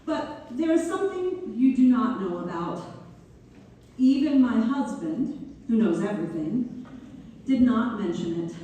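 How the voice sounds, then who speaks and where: A woman playing a gracious, wryly humorous benefactor delivering a measured, playful dedication speech in a staged reading. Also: fairly high pitch, mellow. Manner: measured, deliberate, playful oratory. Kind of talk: theatrical read-through of a formal speech. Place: theater hall, live staged reading. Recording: echoey hall from far off, faint noise.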